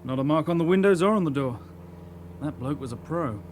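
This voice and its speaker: A male actor as a young detective constable, the sidekick in a radio crime drama. His voice sits a little high, questioning and sceptical, with faint car noise behind him. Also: animated, fairly quick, natural voice. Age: 20s. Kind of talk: naturalistic British radio drama acting.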